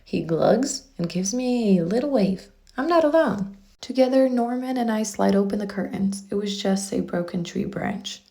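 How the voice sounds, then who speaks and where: Creaky-voiced woman reading a children's storybook. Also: mid-range voice, expressive, moderate pace, mellow. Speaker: children's book reader. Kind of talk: expressive storybook read-aloud.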